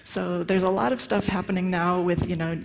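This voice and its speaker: A soft-spoken woman from the United States giving a chatty conference talk, with many fillers and restarts. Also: fairly low pitch, steady tone, normal speed, creaky. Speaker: conference speaker. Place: conference hall. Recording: long hall reverb.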